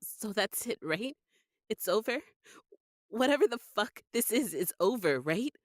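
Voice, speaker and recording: A woman's voice: mid-range voice, expressive, measured pace, no echo, studio quality. An actress playing a fed-up, grieving, defiant young woman in a horror audio drama, blunt and insistent. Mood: anxious, insistent.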